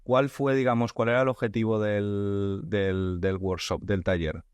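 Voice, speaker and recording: A man's voice: on the low side, steady tone, a little slow, smooth, no echo, clean studio sound. A man hosting a podcast interview, thinking aloud with frequent restarts and repeated words. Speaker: podcast host. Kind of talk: conversational interview.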